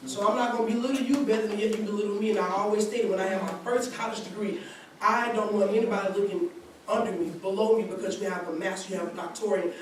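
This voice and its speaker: A young woman in her twenties gives an earnest testimony, her long sentences full of restarts. She sounds far from the microphone, and her voice rings through a very echoey church hall with clear background noise behind her. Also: mid-range voice, steady tone, quick pace. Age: 20s. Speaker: college student speaking at a community seminar. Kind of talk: personal testimony at a gathering.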